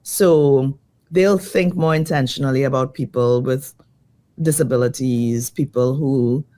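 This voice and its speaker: A woman design educator, slightly croaky from jet lag, answering interview questions in a lively, thoughtful way. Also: a bass voice, measured pace. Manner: lively, thoughtful, many fillers.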